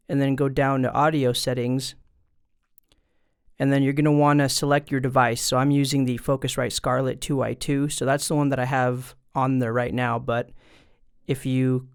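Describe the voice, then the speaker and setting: A man with a smooth, clean voice at an average pitch walks viewers through instructions. He speaks informally and straight to the listener, step by step, into a studio microphone. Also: fairly even intonation, normal speed. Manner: informal, talking directly to viewers. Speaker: tutorial presenter. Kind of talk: casual step-by-step instructional explanation. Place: indoors at a desk. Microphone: studio microphone through an audio interface.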